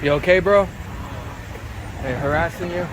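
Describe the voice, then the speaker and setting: A man filming on a phone outdoors on a city street, loudly and profanely confronting others. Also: fairly high pitch, a little slow, background noise. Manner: loud, confrontational, swearing. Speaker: police-watch activist filming officers. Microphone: handheld phone.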